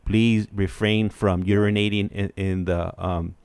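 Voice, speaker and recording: A man with a low voice tells stories in a casual, animated way. He, repeats himself for emphasis and mixes in Spanish slang. The sound is close and dry. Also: a little slow, clean tone, no room sound. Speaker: host. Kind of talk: casual chat, storytelling with slang.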